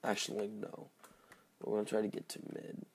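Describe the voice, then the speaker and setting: Slightly hoarse, low-voiced man gaming. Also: completely flat delivery, normal speed, a little mellow, a little hiss or hum. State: slightly hoarse, just woke up. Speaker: gaming video host. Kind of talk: casual gameplay commentary.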